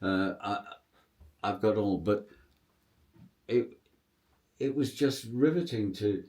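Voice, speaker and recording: An older man, a pantomime dame and writer, reminiscing in a rambling, halting, sentimental way during a casual chat. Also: fairly low pitch, darkish tone.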